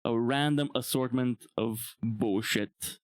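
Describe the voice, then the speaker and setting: A man's voice: medium pitch, slow pace, no echo. Young guy from bulgaria rambling on stream, chuckles a lot, dry close mic, medium voice. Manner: relaxed, rambling, chuckles often. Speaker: VTuber streamer. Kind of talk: casual live-stream chat.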